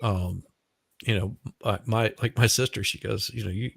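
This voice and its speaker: Gravelly Southern man chatting. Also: on the low side, fairly even intonation, moderate pace, fairly bright tone, dry recording. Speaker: live stream guest. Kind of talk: casual chat.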